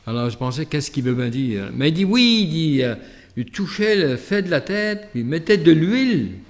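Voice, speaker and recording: Canadian French priest giving a sermon. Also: male voice, fairly high pitch, sing-song, normal speed. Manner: reflective, storytelling delivery with hesitations and asides. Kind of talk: gospel reading and conversational sermon with anecdote.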